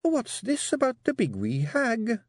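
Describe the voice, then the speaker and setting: A man voicing a quietly dangerous young fairy queen and bossy wife with a Scottish accent, deceptively sweet and controlled, in an audiobook. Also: extremely high pitch, wildly swooping pitch, unhurried, no echo, studio quality, pitched above the performer's own voice, full character voice. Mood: dangerously sweet. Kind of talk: audiobook character voice.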